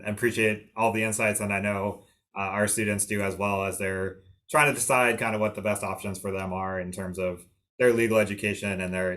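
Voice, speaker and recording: A man's voice: fairly low pitch, a bit bright. A man hosting the session, closing it with polite, repeated thanks on a video call. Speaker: admissions host. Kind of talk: closing remarks.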